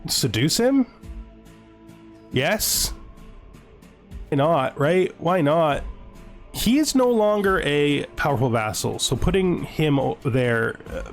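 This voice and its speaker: A man's voice: a little high, very animated, takes it slowly, pauses often. A man giving casual let's play commentary on a strategy game, musing aloud and reading game text out. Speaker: gaming video host. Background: background music.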